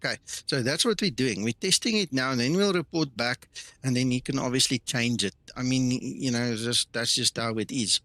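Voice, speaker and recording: Male streamer, non-native English speaker; bright, dry delivery; casual chat with viewers. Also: mid-range voice, normal speed, no room sound. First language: not English. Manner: casual and chatty, greets viewers by name, many fillers and restarts. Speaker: flight sim streamer. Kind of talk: live stream chat, reading and answering viewer comments.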